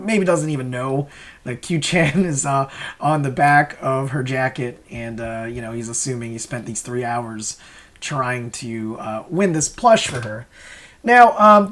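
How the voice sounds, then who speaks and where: A man's voice: mid-range voice, sharp tone, slight background noise. A man giving casual, rambling creator commentary in a hesitant, self-questioning way. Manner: hesitant, self-questioning, chuckles while explaining. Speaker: comic creator and host.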